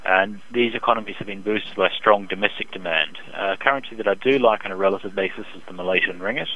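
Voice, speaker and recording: A man speaking as an expert economist in a phone interview, giving measured, hesitant, technical market analysis. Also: on the low side, sharp tone. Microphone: telephone. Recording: dull band-limited phone line.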